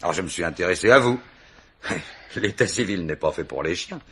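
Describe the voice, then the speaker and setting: Wry deep-voiced police commissioner. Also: male voice, expressive, fairly quick, slight background noise. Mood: wry, patient. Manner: dry, conversational, gently ironic. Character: wry, dogged, unflappable police commissioner on a murder case. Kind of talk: French radio mystery play acting.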